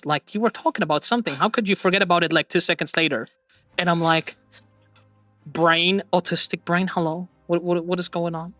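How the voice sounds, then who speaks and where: A man chatting on a live stream with a bright, forward voice, drifting between thoughts and laughing at his own slips. The sound is dry and close, with faint music underneath. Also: sits high, no room sound. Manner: rambling, laughs often, self-mocking. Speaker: streamer host. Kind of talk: casual chat.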